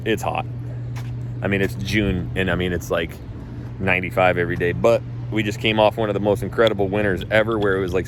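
A man's voice: on the low side, background noise. A man from North Idaho who coaches real estate agents, talking casually in a blunt, emphatic way and swearing freely. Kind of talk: casual talk.

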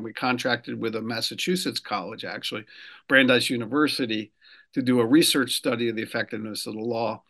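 A man from New Jersey, a political consultant, explaining a law in a radio interview, pausing often with fillers and restarts. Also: mid-range voice, a bit bright. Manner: measured, many fillers and restarts. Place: lives in Rhode Island.

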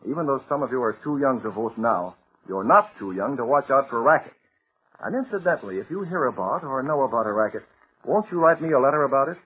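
Animated, earnest male radio announcer. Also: medium pitch, fast talker, breathy edge, dull audio. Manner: earnest, exhorting. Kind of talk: scripted 1940s radio announcing to young listeners. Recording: noisy old radio recording.

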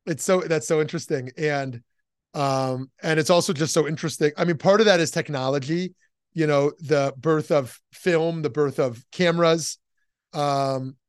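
A man leading an informal class discussion as a rabbi and teacher, speaking haltingly and often repeating his words and phrases. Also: on the high side, clear, resonant tone, dry recording, studio quality.

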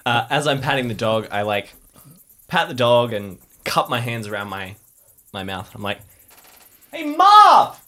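A woman's voice: extremely low pitch, sing-song, unhurried, long pauses, pushed and bright. A woman playing a tabletop role-playing game, casually improvising and slipping in and out of character. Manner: informal, slips into speaking as the character. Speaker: player in an audio drama role-playing game.

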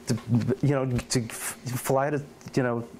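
A middle-aged man in an oral history interview at his home, telling lively stories and acting out quotes. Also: medium pitch, measured pace, slight background noise, low-quality recording. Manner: animated storyteller, acts out quotes and meows.

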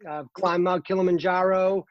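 A man in his fifties with a Boston accent, a guest in a casual interview, talking earnestly with many pauses and fillers. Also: sits high, steady tone, no echo, dull audio. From Boston, Massachusetts. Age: 50s. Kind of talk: casual interview over a call.

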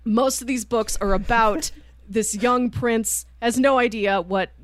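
A woman co-hosting a book podcast in casual conversation, telling stories in an animated, informal way. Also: on the high side, unhurried, dry recording. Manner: animated storyteller, acting out quoted lines informally. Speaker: podcast co-host reviewing books.

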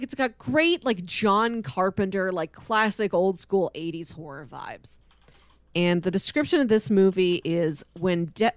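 A woman co-hosting a podcast in casual chat, speaking in an enthusiastic, expressive way. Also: mid-range voice, no room sound. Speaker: podcast co-host. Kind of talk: casual chat with read-out film pitches.

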